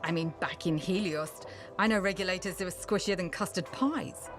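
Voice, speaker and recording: A woman's voice: on the low side, normal speed, music in the background, natural voice. A woman playing a tough frontier bar owner. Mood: gruff. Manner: brusque, wry, no-nonsense. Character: tough, streetwise female frontier bar owner, secretly soft. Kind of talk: video game dialogue acting.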